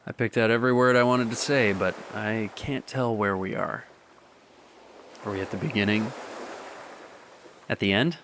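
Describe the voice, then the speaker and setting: A man's voice: lowish voice, normal speed, pauses often, close to an everyday voice. An actor playing a wistful, nostalgic young man in a radio drama monologue, hesitant and intimate, speaking to a lost love. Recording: ocean waves and ambient noise behind. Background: ocean waves.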